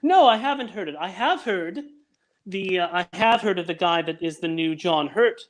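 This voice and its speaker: A man's voice: high-pitched, animated, normal speed. A young man chatting casually as a guest, hesitant and rambling. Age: young adult. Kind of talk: casual chat.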